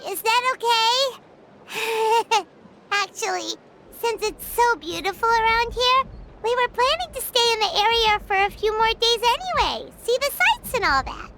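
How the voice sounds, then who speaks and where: A woman voicing a tiny, excitable, childlike fairy companion with a squeaky voice in a video game. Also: a little slow, very noisy, exaggerated voice. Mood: cheerful, eager. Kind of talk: video game character acting.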